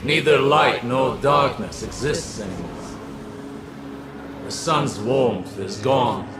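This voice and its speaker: An actor playing a grave, prophetic warlock in a video game cutscene, speaking in a solemn, portentous manner. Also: male voice, mid-range voice, slow pace, background noise. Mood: solemn, foreboding. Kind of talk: scripted dramatic game cutscene acting. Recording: echoing voice under loud music.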